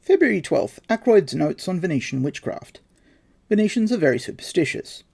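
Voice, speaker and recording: A man's voice: a little high, gravelly, mellow, breathy edge. A man hosting a podcast, reading his research notes aloud with dry, wry asides. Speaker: podcast host.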